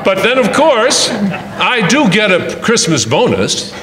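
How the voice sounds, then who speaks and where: In a roomy, echoing hall, a long-suffering husband , played by a man tells of married life. His voice is fairly high with wide swings, wry and sardonic in the manner of old radio comedy. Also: very animated, slow, sharp tone, full character voice. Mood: dry, resigned. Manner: dry, sardonic asides.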